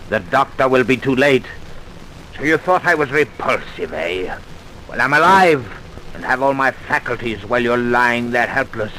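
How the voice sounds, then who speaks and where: An actor playing a bitter, vengeful crippled chemist in an old radio drama, theatrical and taunting. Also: male voice, medium pitch, pushed and bright. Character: bitter, vengeful crippled male research chemist, gloating villain. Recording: noisy vintage radio recording.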